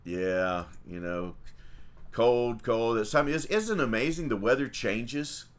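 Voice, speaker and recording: A man's voice: mid-range voice, lively intonation, a little slow. A middle-aged man, a priest, chatting casually on a livestream, folksy and rambling with many fillers and restarts. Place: small home room with icons.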